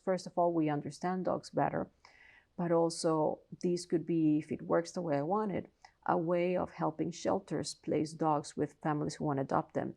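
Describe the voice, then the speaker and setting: A woman's voice: sits low, gravelly, warm tone. A woman from Colombia with a Colombian Spanish accent, a professor answering interview questions in an earnest, explanatory way with frequent restarts. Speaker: professor and researcher, interview guest.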